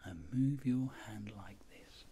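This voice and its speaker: A middle-aged man speaking in a soft, breathy, mostly whispered voice, gently and deliberately explaining each step as a doctor in an ASMR role-play. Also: fairly low pitch. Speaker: ASMR performer playing a doctor examining a patient.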